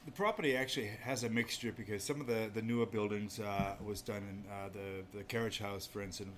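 A man from Maine with an American accent talks in a fairly low voice. He answers interview questions casually and modestly, feeling his way through sentences. Faint noise sits behind his voice in a pub. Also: steady tone, fairly quick. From Maine, United States. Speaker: interview guest, inn owner. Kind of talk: casual chat. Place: small pub off an inn lobby.

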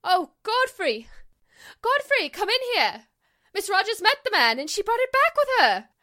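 A woman's voice: very high voice, very animated, normal speed, sharp tone, no room sound, studio quality, full character voice. A woman acting an emotional, theatrical famous actress in a 1940s-style radio drama. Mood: excited.

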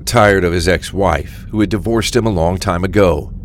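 A man's voice: low-pitched, moderate pace, a little hiss or hum. A man narrating a scripted crime audio drama.

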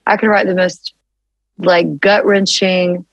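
Low-voiced woman telling stories. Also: slow pace, vocal fry, dry recording, poor audio. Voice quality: deeper, low-register voice. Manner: animated storytelling with frequent restarts and laughs. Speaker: songwriter guest. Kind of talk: casual interview chat.

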